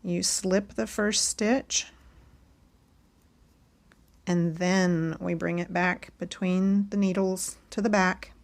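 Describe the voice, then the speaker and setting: A woman hosting a casual knitting tutorial, chatting along as she knits and a bit hoarse from losing her voice. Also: on the low side, steady tone, slow pace, pauses often. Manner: chatty and friendly, talks while knitting.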